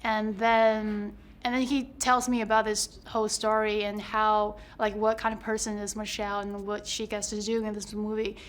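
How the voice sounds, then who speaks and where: A woman speaking English as a second language, talking in a flat, even tone at a middling pitch. She chats casually in an interview and stumbles over and repeats words as she goes. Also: moderate pace, few pauses. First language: not English. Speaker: actor.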